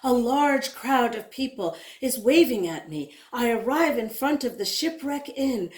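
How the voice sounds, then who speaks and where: Slow, expressive woman storyteller in echoey room. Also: on the high side. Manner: dramatic, deliberate phrasing with drawn-out words and emphatic repetition. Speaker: children's picture book reader. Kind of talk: expressive storybook read-aloud. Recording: roomy, echoing indoor sound.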